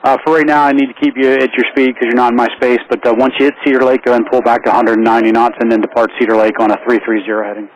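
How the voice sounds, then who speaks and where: A man working as an air traffic controller, giving calm, accommodating, businesslike instructions to pilots over aviation radio. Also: mid-range voice, rapid-fire, hardly any pauses, rough recording.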